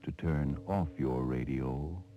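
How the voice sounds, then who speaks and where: An actor playing a calm, grave, ominous announcer introducing an old radio thriller. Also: male voice, very deep voice, slow pace. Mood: ominous, solemn. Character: ominous, solemn male horror show announcer. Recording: dull old radio recording with music.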